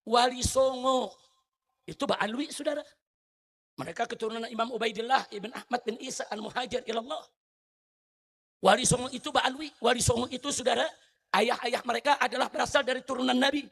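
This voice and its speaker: A man from Indonesia delivers a heated sermon in a very high, bright and forceful voice. He keeps asking the crowd questions and addressing them directly. His delivery lurches from hushed, low lines to full shouting. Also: fairly quick, vocal fry. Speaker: preacher. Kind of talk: fiery religious sermon.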